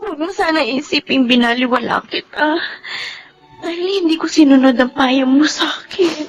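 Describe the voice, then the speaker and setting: A Filipina actress playing a devoted, tender and determined young bride and daughter in a radio drama. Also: female voice, high voice, normal speed, faint music behind, close to an everyday voice. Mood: tearful, earnest.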